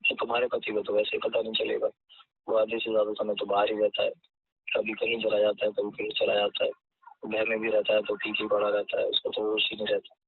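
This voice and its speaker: Guy acting as pushy creepy landlord on a call, insistent, pretty flat pitch, dry muffled phone sound. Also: brisk, creaky, breathy edge, no echo. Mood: coaxing, insinuating. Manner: insistent, hectoring then persuasive. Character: pushy lecherous male landlord, irritated then coaxing. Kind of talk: staged phone call drama.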